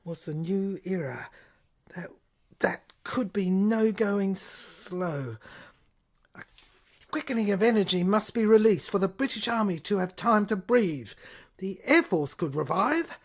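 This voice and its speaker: A woman performing a scripted dramatic monologue as the narrator of an audio play, with theatrical, halting phrasing that can fall toward a whisper. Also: medium pitch, animated.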